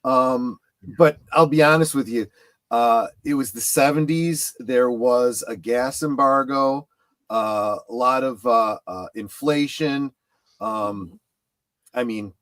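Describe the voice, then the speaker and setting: A man's voice: medium pitch, dry recording. A man chatting casually on a panel, explaining things in a discursive way. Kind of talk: casual panel chat about collecting.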